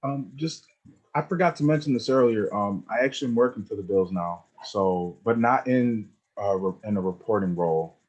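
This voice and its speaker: A man poses questions to a guest, hesitant and padded with fillers. His low, slightly husky voice comes through muffled and lo-fi. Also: moderate pace, darkish tone. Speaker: student asking questions. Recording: dull lo-fi audio.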